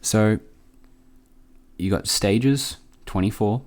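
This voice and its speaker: A man hosting a casual software tutorial, informal and a bit hesitant as he thinks aloud. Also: on the low side, very slow. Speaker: tutorial host.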